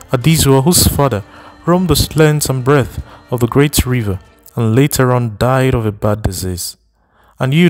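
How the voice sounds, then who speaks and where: A man voicing a slow, mournful old village woman. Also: mid-range voice, a little mellow, pitched above the performer's own voice, natural voice. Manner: slow, mournful, long-winded. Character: older village woman, lamenting, tactless.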